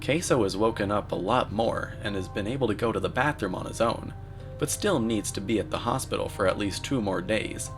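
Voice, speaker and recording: Deadpan low-voiced man narrating over music. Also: fairly quick. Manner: deadpan, mock-serious. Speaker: narrator, video commentator. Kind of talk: scripted commentary narration.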